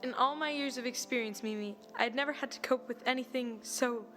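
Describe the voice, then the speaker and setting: A woman's voice: fairly high pitch, brisk, fairly bright tone, soft background music, natural voice. A woman playing a fretful, formal matron who runs the household, in an audio drama. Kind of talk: radio drama acting.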